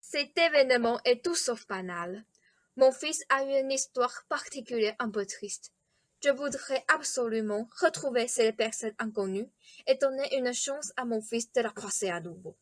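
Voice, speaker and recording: A woman's voice: a little high, moderate pace, no echo, natural voice. A woman playing a courteous, eccentric king and doting father, speaking formally and over-politely in a radio play. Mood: courteous, confiding. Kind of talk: theatrical radio play acting.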